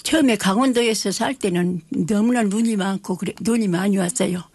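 A woman's voice: mid-range voice, brisk, a little mellow, breathy edge, no echo. A woman in her nineties, a church evangelist, answering interview questions earnestly and repeating words for emphasis. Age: 90s.

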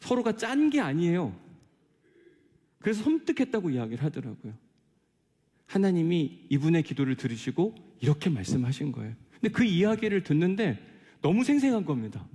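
A man's voice: a little high, sing-song, a little fast, mellow, breathy edge. A male pastor giving a sermon in an earnest, conversational way, telling personal stories and asking rhetorical questions. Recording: long hall reverb.

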